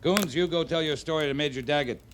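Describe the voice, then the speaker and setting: Actor as a terse, steady cavalry captain. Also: male voice, fairly high pitch, fast, close to an everyday voice. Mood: commanding. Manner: terse, understated, plain-spoken. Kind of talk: 1950s radio western acting. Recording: noisy old radio drama recording.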